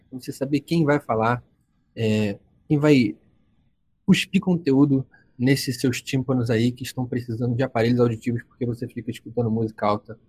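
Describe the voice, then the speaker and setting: A man's voice: medium pitch, a little mellow, slightly rough. A man of twenty hosting a casual podcast from home, joking and teasing his friends. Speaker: podcast host.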